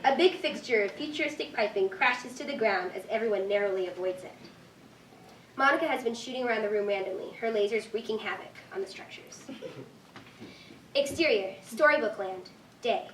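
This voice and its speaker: A woman's voice: medium pitch, moderate pace. A woman narrating a script's stage directions at a staged reading. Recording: echoey room, distant mic, faint noise.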